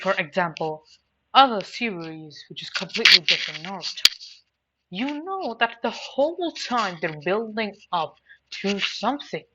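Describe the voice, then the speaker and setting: A woman giving a casual, unscripted opinion review, rambling and repeating words emphatically for stress. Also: mid-range voice. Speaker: anime and manga reviewer. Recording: dull lo-fi mic with faint noise.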